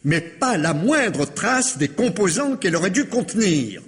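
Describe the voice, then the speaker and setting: An actor playing a courteous, dutiful police commissioner who speaks in a formal, apologetic way, in 1950s French radio drama style. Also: male voice, high voice, big pitch swings, measured pace. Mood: formal, explanatory.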